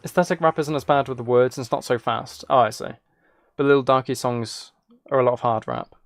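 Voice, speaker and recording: Gravelly-voiced man chatting on a game stream. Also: medium pitch, steady tone, brisk, dry recording, studio quality. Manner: rambling, thinking aloud, replying to viewers. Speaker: livestreamer, gaming and ASMR channel creator. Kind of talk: casual live stream chat while gaming.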